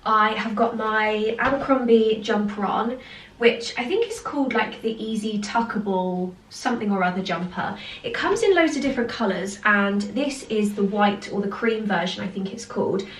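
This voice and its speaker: A woman's voice: medium pitch, normal speed, poor audio. Nasal woman with a cold. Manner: chatty and upbeat, frequent little laughs. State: has a cold. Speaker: home decor vlogger. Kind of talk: casual chat to camera. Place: at home, indoors. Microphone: handheld camera.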